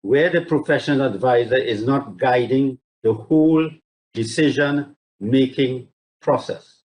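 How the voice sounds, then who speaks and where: A man speaking as a barrister in a formal, measured and courteous legal submission, reading carefully from judgments over a video link. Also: mid-range voice, a little mellow, poor audio. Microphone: video call.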